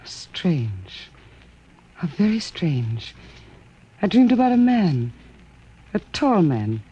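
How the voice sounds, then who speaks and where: Slow, mellow, dreamy Martian wife. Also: female voice, fairly low pitch, big pitch swings, breathy edge, lo-fi sound, heavily acted. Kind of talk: radio drama acting.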